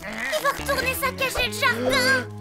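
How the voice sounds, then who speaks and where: Little female boar, cartoon: bossy, fretful, childlike, piercingly high pitch over loud music. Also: steady tone, moderate pace, creaky. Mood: worried. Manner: childlike, exclamatory.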